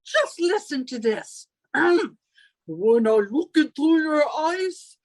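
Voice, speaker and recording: Woman playing a high-pitched, indignant landlady. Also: animated, takes it slowly, darkish tone. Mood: aggrieved. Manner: theatrical, aggrieved storytelling. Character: chatty, put-upon Irish landlady, indignant and gossipy. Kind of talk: 1940s radio comedy acting.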